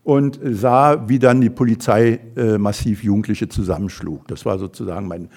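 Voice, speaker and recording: A man's voice: on the low side, fairly even intonation, moderate pace, darkish tone. An older man from Berlin giving an invited talk at an evening event, speaking thoughtfully with frequent fillers and restarts. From Berlin, Germany. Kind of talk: lecture talk.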